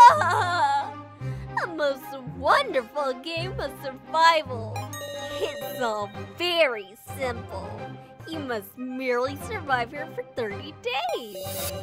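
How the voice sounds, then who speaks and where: A woman's voice: high voice, heavily acted. A woman voicing a mock-polite, gleefully sinister living doll in a theatrical game dub.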